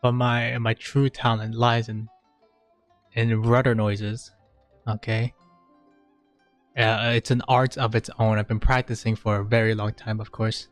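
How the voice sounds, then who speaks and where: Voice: medium pitch, level tone, a little slow, pauses often, no room sound. A video game streamer giving casual live commentary in a hesitant, joking, self-deprecating way. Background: game music.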